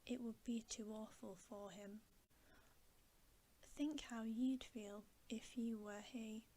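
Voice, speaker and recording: A woman voicing a sensible, protective older sister from a storybook, hushed and gently firm. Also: fairly high pitch, slow pace. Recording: steady background hiss.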